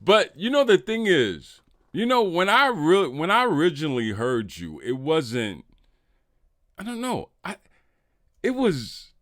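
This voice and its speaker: A middle-aged man hosting a casual interview podcast, talking in an animated, effusive way with plenty of slang. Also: a little high, a little slow, dry recording, clean studio sound.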